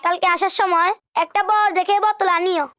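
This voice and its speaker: A woman's voice: very high-pitched, fairly even intonation, fairly quick, fairly bright tone. A woman voicing a bossy young schoolboy ringleader in a children's cartoon dub. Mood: bossy, scheming. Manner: bossy, commanding.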